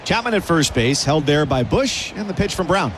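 A man calling baseball play-by-play on the radio, brisk and rising with the action. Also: fairly high pitch, lively intonation, noisy background. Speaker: play-by-play announcer.

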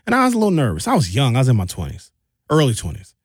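A middle-aged American man hosting a radio talk show in an informal, opinionated storytelling style, colloquial and animated, on a close studio mic. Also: medium pitch, rapid-fire, husky, dry recording. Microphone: close studio microphone.

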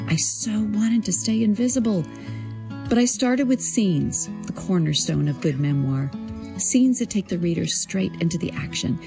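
A woman writer narrating her own memoir in an expressive, deliberate, literary way, acting out the dialogue. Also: fairly low pitch, vocal fry. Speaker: creative writing teacher. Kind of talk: prepared personal storytelling, narrated memoir.

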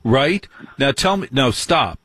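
Chatty late-night radio host, husky voice. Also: male voice, medium pitch, lively intonation, slow pace, a bit bright, dry recording. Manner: chatty, eager, interrupts the caller.

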